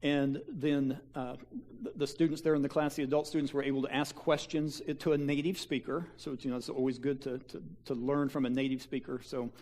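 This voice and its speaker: A man pastors a small church and talks his congregation through mission-trip photos. His voice sits at a middling pitch, and his delivery is folksy and halting, full of fillers, restarts and offhand asides. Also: little pitch movement, a little mellow. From Kansas. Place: church sanctuary.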